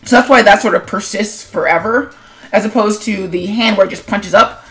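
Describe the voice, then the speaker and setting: A woman's voice: mid-range voice, normal speed, a bit bright, faint music behind, poor audio. A woman talking casually as an animator and video host, in a rambling, self-deprecating, conversational way. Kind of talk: casual commentary vlog on own animation.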